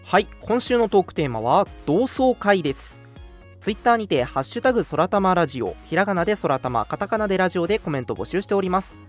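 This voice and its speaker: A man's voice: high voice, big pitch swings, fast. Male radio host for casual banter, very varied intonation, chatty delivery, light music bed. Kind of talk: casual co-hosted radio chat.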